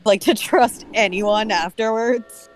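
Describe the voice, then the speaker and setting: A woman's voice: fairly high pitch, takes it slowly. A woman chatting casually and informally, swearing now and then. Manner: profane, informal. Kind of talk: casual chat.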